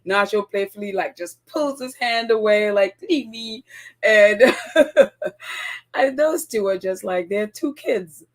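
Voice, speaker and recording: A woman hosting a casual solo podcast, her voice croaky and congested from a cold, chatty with frequent laughs and ums. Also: mid-range voice, slow pace. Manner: chatty, giggly, lots of ums. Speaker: podcast host.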